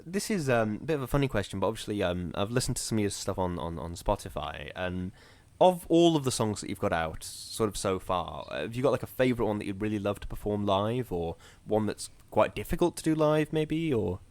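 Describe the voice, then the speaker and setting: A man's voice: fairly low pitch, big pitch swings, brisk, few pauses, darkish tone, no room sound. A young man co-hosting a podcast interview, asking questions hesitantly and making playful jokes. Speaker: podcast co-host. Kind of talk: casual interview chat.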